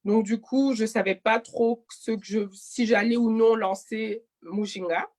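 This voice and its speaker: A woman's voice: fairly high pitch, fairly even intonation, unhurried, a little mellow. A woman of twenty-seven from Belgium, giving conversational interview answers and often correcting herself mid-phrase. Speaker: interview guest, clothing e-shop founder.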